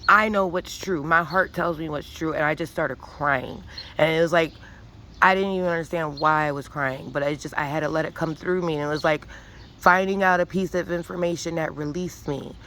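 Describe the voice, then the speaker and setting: A woman's voice: low voice, steady tone, normal speed, sharp tone, a little hiss or hum. A woman giving an emphatic, profane spiritual monologue with many restarts, rambling and preachy. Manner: intense and preachy. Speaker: vlogger.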